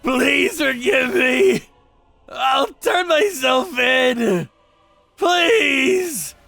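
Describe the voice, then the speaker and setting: A man's voice: very high-pitched, lively intonation, drawn-out words, gravelly, pushed and bright, shouting, soft background music, voice pushed up, heavily acted. A man voicing a desperate criminal who screams and pleads in agony in a manga story. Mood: begging.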